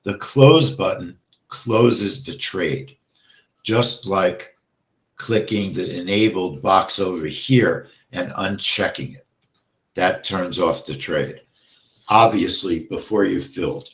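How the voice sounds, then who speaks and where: Low, slow man getting hoarse. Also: echoey room. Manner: steady, explains step by step, often checks with "okay?". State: getting hoarse, clears throat often. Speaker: trading instructor. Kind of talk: live trading walkthrough.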